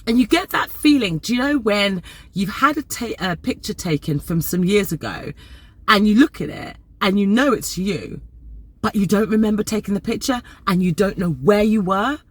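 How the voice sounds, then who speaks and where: A Nigerian-born woman in her forties, casually talking to camera in a chatty, animated way and repeating words for emphasis. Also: mid-range voice, normal speed, husky, no room sound. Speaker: vlogger.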